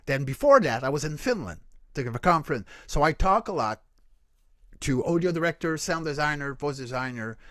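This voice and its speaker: A man's voice: a little high, animated, fairly quick, a bit bright, no room sound, clean studio sound. A man, a voice coach and creature performer, answering questions earnestly on a video call, often restarting his sentences. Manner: earnest, explanatory, frequent restarts and self-corrections. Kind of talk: casual Q&A answers.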